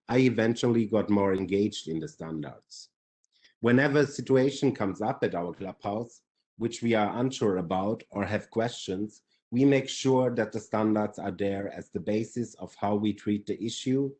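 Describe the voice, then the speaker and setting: A man's voice: on the low side, dark tone. A man in his forties with a Finnish accent, reading prepared remarks as a panelist on a video call. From Finland. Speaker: webinar panelist.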